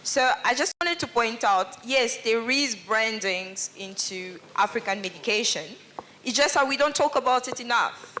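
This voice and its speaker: A woman's voice: fairly high pitch, moderate pace, pushed and bright, a little hiss or hum. A woman panelist, speaking English earnestly and outspokenly. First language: Lingala. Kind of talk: panel discussion comment.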